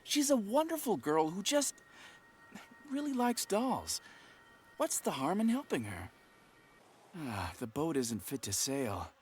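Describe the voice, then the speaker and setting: Trusting, friendly young game adventurer. Earnest, very animated intonation, faint ambience behind. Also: male voice, a little high, slow, long pauses, a little hiss or hum. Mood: brisk, friendly. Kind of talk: video game cutscene acting.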